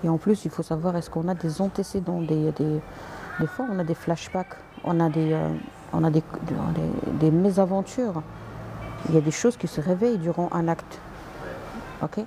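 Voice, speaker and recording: A woman's voice: low voice, fairly even intonation, normal speed, noisy background. A woman doctor answering viewers' comments on a live stream, polite but direct, with frequent hesitations and restarts, slipping in English and Arabic words.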